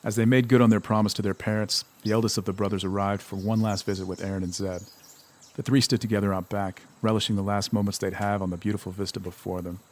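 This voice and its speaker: A man's voice: on the low side, little pitch movement, fast talker, darkish tone, natural voice. A man performing as the solemn storyteller of an audio drama, reading scripted narration.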